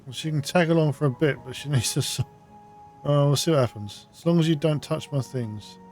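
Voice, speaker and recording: Male gamer, slightly high voice, casual playthrough commentary with in-character replies, game music underneath. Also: fairly quick, a little mellow. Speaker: gamer playing and reacting.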